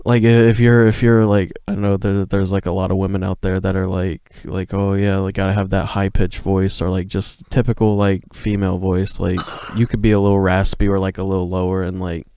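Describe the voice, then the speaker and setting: Tired male podcast co-host, low and smooth, laid-back rambler, dry close mic. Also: flat delivery, a little fast, hardly any pauses, warm tone, no echo. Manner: laid-back, rambling, heavy swearing and filler "like". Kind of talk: casual podcast chat.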